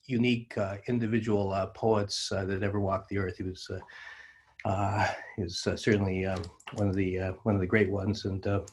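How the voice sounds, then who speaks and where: An older man with a raspy, fairly low voice speaks in a flat tone. He gives an informal tribute, halting often and repeating his words. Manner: halting, hesitant, repeats himself. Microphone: video call.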